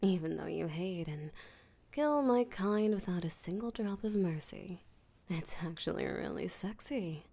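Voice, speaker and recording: Slow, creaky, seductive vampire voiced by a woman. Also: lowish voice, animated, warm tone, full character voice. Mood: teasing, seductive. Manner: breathy, teasing, flirtatious, slow and deliberate. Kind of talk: intimate roleplay audio drama, spoken to the listener.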